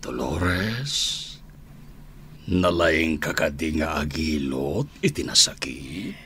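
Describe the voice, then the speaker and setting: Very deep-voiced flirtatious gentleman. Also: sing-song, slow, breathy edge, full character voice. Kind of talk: Ilocano radio drama acting.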